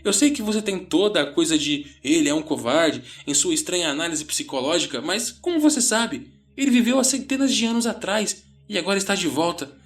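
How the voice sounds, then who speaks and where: A man's voice: a little high, a bit bright, voice pushed up. A man voicing a panicked, exasperated sixteen-year-old boy in a horror audio story, stammering and ranting.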